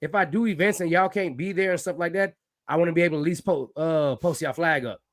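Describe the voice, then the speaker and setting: Casting: tired male co-host from the Caribbean, higher voice, dry close sound. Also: fast, no echo. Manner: easygoing, stammers and laughs. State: tired after work. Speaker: podcast co-host. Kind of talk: casual chat.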